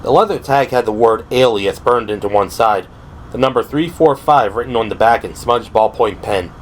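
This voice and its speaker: A man's voice: mid-range voice, normal speed, slight background noise, rough recording. A man delivering scripted, dramatic narration for an audio drama. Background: dramatic background music.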